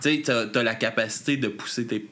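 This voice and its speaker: A man's voice: mid-range voice, fairly quick. A Québécois man hosting a casual podcast interview, rambling and laughing easily. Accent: Québécois French. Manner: relaxed, rambling, laughs often. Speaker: podcast host.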